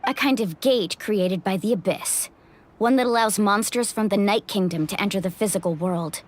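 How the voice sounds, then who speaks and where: An actress voicing a brave, upbeat young woman warrior guide in a video game, brisk, confident and energetic. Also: medium pitch, close to an everyday voice. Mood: determined. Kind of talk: animated video game voice acting.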